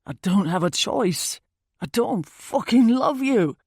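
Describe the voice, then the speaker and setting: A woman voicing a remorseful, evasive man breaking up with his girlfriend in an audiobook, gravelly and husky, pleading haltingly. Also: a bass voice, darkish tone, dry recording, clean studio sound, pitched below the performer's own voice, heavily acted. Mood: defensive, upset. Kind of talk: audiobook character dialogue.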